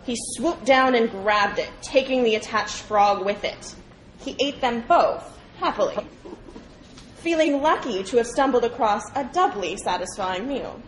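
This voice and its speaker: Higher-voiced woman reading a fable aloud. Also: lively intonation, a little slow, slight background noise, low-quality recording. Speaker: reader at a public read-aloud. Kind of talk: scripted storytelling read aloud. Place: live reading event before an audience.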